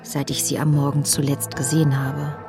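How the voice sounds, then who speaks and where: Young female narrator actress, lowish voice, troubled inner monologue for radio drama, clear music behind. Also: little pitch movement, normal speed, close to an everyday voice. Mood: subdued. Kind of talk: literary first-person radio drama narration. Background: prominent music.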